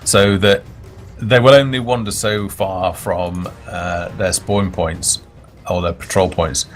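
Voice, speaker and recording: Deep-voiced male developer on voice chat. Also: fairly even intonation, slow. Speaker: game developer, stream guest. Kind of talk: casual chat explaining game features. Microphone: push-to-talk voice chat. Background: background music.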